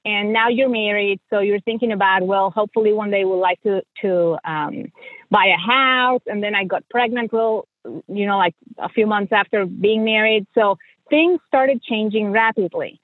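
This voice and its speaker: A woman's voice: mid-range voice, normal speed, no room sound, narrow, tinny audio. A middle-aged woman from Mexico with a Mexican accent, a native Spanish speaker, telling her story conversationally as a podcast guest. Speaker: podcast guest, financial coach and journalist.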